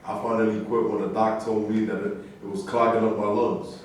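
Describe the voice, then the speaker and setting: Echoey, distant actor as a blunt ex-boxer. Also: male voice, lowish voice, flat delivery, brisk, lightly acted. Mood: joking. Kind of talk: staged play reading. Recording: very echoey room, distant mic, faint noise.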